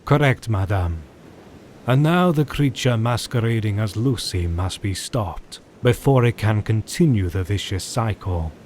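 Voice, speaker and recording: A man playing a deliberate, portentous vampire-hunting professor. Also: lowish voice, lively intonation, darkish tone, slight background noise. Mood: grave, knowing. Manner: formal, portentous, commanding. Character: grave, learned male professor and vampire hunter. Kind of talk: audio drama acting, period speech.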